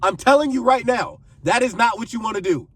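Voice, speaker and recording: A man's voice: very high voice, brisk, fairly bright tone, slightly rough, distant mic. A man in his twenties giving earnest advice to camera, pleading and repeating phrases for emphasis. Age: 20s. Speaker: vlogger giving life advice.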